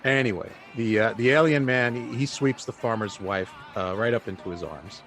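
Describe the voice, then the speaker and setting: A man's voice: medium pitch, fairly bright tone, faint music behind, lightly acted. Man acting dry, sardonic laid-back buddy. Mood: casual, exasperated. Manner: dry, halting, teasing. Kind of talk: naturalistic audio drama dialogue.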